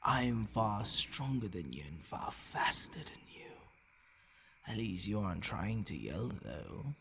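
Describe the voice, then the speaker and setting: A man playing a possessive, menacing vampire in an intimate ASMR roleplay, murmuring and whispering in a gravelly, commanding, condescending way. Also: very animated, slow pace, fairly bright tone, a little hiss or hum, exaggerated voice. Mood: menacing, coaxing. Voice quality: gravelly, breathy low murmur dropping into whispers. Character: possessive, menacing male vampire toying with his captive.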